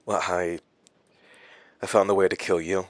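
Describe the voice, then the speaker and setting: Weary haunted hero in audio drama, lowish voice, halting n slow, very dry recording. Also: male voice, level tone, no echo. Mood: taunting. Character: haunted, defiant male hero facing his enemy.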